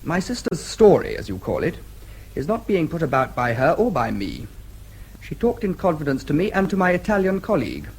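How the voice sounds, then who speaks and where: An actor playing a composed, protective brother in a radio drama, clipped and polite but firm. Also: male voice, a little high, wildly swooping pitch, a little fast, a little mellow, breathy edge, heavily acted. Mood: politely defiant. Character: crisp, protective brother, a composed gentleman standing his ground.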